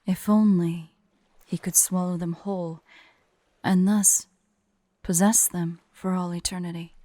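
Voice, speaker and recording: A woman voicing a haughty, vain, teasing female dragon who is secretly fond, in an intimate, breathy, imperious ASMR roleplay style. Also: on the low side, fairly even intonation, dark tone, no room sound. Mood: storytelling, grave. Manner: slow, imperious, playful, breathy near-whispers at times.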